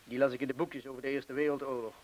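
An actor playing a sceptical, self-deprecating former wartime bomb-disposal man in a radio play, with a measured, understated way of talking. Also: medium pitch, flat delivery, darkish tone, close to an everyday voice. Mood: wry, self-deprecating. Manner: measured, understated, terse replies.

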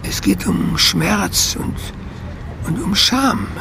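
Slow, gravelly old Chinese man. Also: high-pitched, animated, noisy background, full character voice. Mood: sorrowful, ashamed. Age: older. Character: old Chinese man, sorrowful, dignified, reflective. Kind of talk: radio drama acting.

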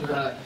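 A man playing a plain-spoken rustic soldier in a radio drama, with a central or southern Italian accent. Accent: central or southern Italian regional. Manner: colloquial, dialect-tinged interjections. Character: plain-spoken, grumbling rustic First World War soldier. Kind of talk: stage-style radio drama dialogue. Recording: roomy, distant mic, faint noise.

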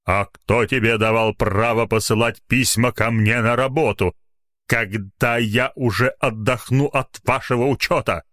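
A man voicing a rude, brusque male caller in a story, speaking in a gruff, unceremonious and menacing manner. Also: mid-range voice, fairly even intonation, measured pace, fairly bright tone, dry recording, clean studio sound, close to an everyday voice. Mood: rude, threatening.

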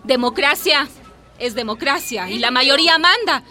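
A woman's voice: high voice, lively intonation, moderate pace, pushed and bright, faint music behind. An actress playing a fiery village woman rallying her neighbours in a radio drama, impassioned and oratorical. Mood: indignant. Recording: crowd murmur behind the voice.

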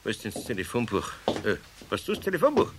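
An actor playing a good-hearted but easily flustered old master carpenter with a Bavarian accent in a children's radio play, muttering gruffly. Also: male voice, fairly low pitch, huge pitch swings, fast, background noise. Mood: impatient.

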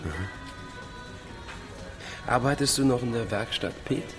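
A man's voice: medium pitch, expressive, takes it slowly, over music. An idle man in his thirties in a naturalistic radio play. He is over-familiar and nosy. His small talk is laconic and keeps prying. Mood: casual, prying. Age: 30s. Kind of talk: naturalistic radio drama dialogue.

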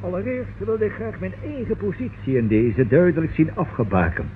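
An actor playing a cautious, pedantic notary in a Dutch radio drama, formal, long-winded and pompous. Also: male voice, high voice, huge pitch swings, normal speed, slight background noise. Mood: guarded, formal. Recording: thin, muffled old radio recording.

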